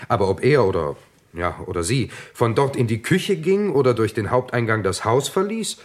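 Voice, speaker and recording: A man's voice: mid-range voice, very animated, normal speed, lightly acted. A man playing a dutiful, slightly plodding police detective who reports pedantically to his superior in a German radio crime drama. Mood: earnest, reporting.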